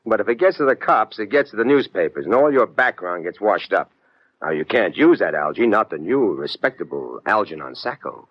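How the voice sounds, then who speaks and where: A man's voice: lowish voice, sing-song, a little fast, breathy edge, dry recording. A man acting a brash, wisecracking American private eye in an old radio detective drama, tough and slangy as he tells his case. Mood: pressing, wry. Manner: tough, slangy, hard-boiled. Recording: muffled old radio recording with music.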